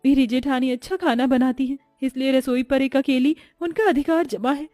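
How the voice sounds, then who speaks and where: A woman's voice: sits high, steady tone, moderate pace, warm tone, dry recording, studio quality, lightly acted. A woman voicing a poor, humble young daughter-in-law in a cartoon story, in a meek, sincere manner. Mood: troubled.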